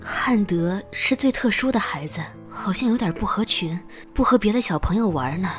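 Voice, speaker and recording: A woman voicing a timid, sorrowful ghostly mother in an animated drama, with a small, breathy voice. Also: medium pitch, moderate pace, faint music behind, close to an everyday voice. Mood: wistful. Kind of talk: Chinese animated drama voice acting.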